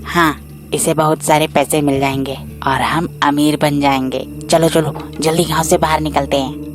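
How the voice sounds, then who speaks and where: A woman's voice: low voice, level tone, normal speed, soft background music, lightly acted. A woman voicing a young male character in a children's cartoon moral tale. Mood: eager, hurried. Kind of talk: cartoon story acting.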